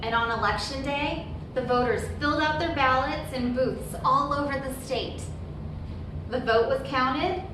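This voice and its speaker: A woman reading a children's picture book aloud as a storyteller, with deliberate, emphatic phrasing and brief asides. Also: a little high. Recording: very echoey room, distant mic, noisy background.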